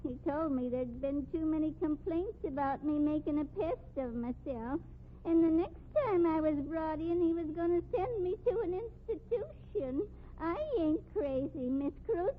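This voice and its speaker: Actress as a high, plaintive flower seller. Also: female voice, moderate pace, natural voice. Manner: plaintive, uneducated folksy speech. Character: pitiful, pestering female paper-flower street seller. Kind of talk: 1950s radio drama acting. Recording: tinny, noisy old radio recording.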